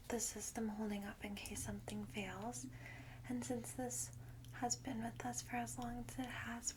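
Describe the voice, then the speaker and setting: A woman whispering softly and soothingly in an ASMR roleplay as a caring, old-fashioned vampire guide, in a breathy voice. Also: a little high, background noise. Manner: gentle, soothing, slow reassurance.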